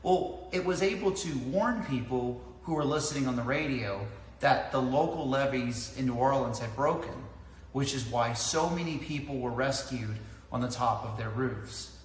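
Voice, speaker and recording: A man's voice: mid-range voice, normal speed. Man reviewing gear in an echoey room. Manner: methodical, explaining points in order. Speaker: product reviewer. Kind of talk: explanatory product review to camera. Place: large indoor lounge with tiled floor. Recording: echoey hard-walled room, clear background noise.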